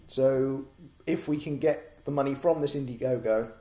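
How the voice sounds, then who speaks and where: A man's voice: mid-range voice, normal speed, dark tone, roomy sound. An English man from Norwich giving a casual, rambling update to backers of his comic project, with many ums and restarts. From Norwich, England.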